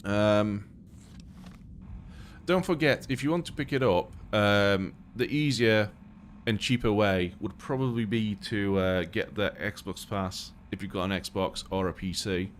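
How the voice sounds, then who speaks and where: A man's voice: on the low side, unhurried, slight background noise. A man from Poland with a British accent, born Polish-speaking, chatting casually as he plays a game on a live stream and thinks aloud. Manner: relaxed, thinking aloud while playing.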